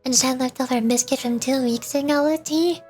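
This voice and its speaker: A woman's voice: sits high, fairly even intonation, a little fast, a little mellow, soft background music. A woman livestreaming a game, chatting casually in a rambling way and stumbling as she reads dialogue aloud. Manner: rambling, stumbles over words while reading. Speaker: streamer, VTuber.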